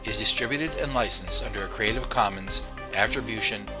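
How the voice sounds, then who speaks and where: A man's voice: fairly low pitch, brisk. Man announcing podcast credits over music. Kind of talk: scripted podcast credits read. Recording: music bed under the voice.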